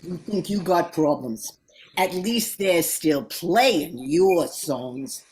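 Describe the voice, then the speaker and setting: A woman's voice: fairly low pitch, animated, takes it slowly, darkish tone. A woman playing a grumbling, slangy old sprig of Christmas holly in a radio play, wisecracking and drawling.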